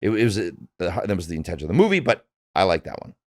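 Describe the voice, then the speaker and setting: A man's voice: low-pitched, very animated, racing, a little mellow, no echo, clean studio sound. A man who works as a voice actor, chatting casually in a discussion, feeling his way through his thoughts in a hesitant manner. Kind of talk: casual chat about favorite comics and films.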